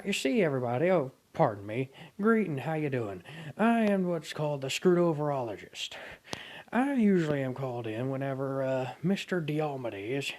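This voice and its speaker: A man's voice: medium pitch, darkish tone, natural voice. A man voicing a folksy self-styled expert who drawls in a homespun way. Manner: drawling, homespun, calls listener partner.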